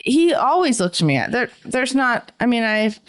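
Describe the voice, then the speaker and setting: A woman in her fifties co-hosts a podcast, and she is chatty and easygoing, telling little stories from home. Her voice sits a touch higher than most. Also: sing-song, moderate pace. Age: 50s. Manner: chatty, tells anecdotes from home. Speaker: podcast co-host. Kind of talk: casual conversation.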